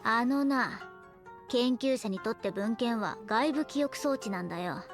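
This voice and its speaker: An actress playing a gruff, blunt but caring woman researcher in a Japanese anime audio drama. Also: on the high side, measured pace, over music. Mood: dry, lecturing. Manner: blunt, rough-spoken, grumbling.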